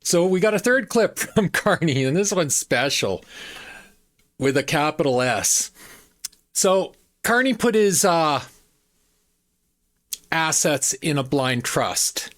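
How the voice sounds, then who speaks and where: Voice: mid-range voice, expressive, slow, long pauses, a bit bright. A host giving opinionated political commentary in an emphatic, repetitive and scornful manner.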